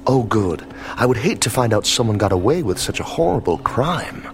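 An actor playing an evasive boss in an audio drama, polished and condescending, with feigned concern. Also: male voice, fairly low pitch, sing-song, moderate pace. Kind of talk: dramatized radio play dialogue.

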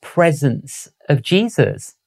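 A man hosting a radio interview, asking curious, gentle questions. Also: a little high, very animated, slow, gravelly, husky. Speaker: interview host.

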